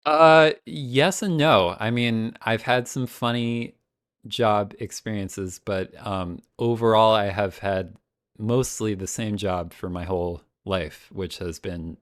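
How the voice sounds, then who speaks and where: Guy guest on a podcast, really low voice, lots of ums and laughs, dry mic. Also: steady tone, slow pace, fairly bright tone, no echo. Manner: laughs often, hesitant with many ums. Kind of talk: casual chat.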